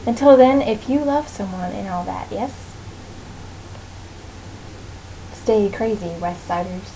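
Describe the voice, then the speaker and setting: A woman's voice: mid-range voice, animated, moderate pace, warm tone, close to an everyday voice. A woman voicing a poised, scolding telepath mentor from a comic, formal and condescending, on a noisy amateur recording. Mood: composed.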